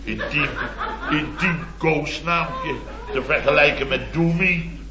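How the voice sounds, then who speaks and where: An actor playing a blustering, indignant middle-aged married contractor, telling a rambling, exasperated comic anecdote in a radio serial. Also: male voice, fairly high pitch, slow. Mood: sheepish, indignant. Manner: exasperated, rambling, mimics others mockingly. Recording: muffled old radio, echoey, audience laughter.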